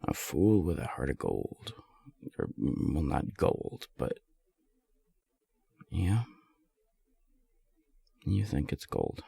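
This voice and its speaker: Casting: male actor for shy, self-deprecating friend in love, romance audio roleplay; slow, gravelly, halting delivery. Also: extremely low pitch, big pitch swings, no room sound, exaggerated voice. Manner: hesitant, halting, soft-spoken. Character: lovestruck, self-deprecating non-binary friend, shyly confessing.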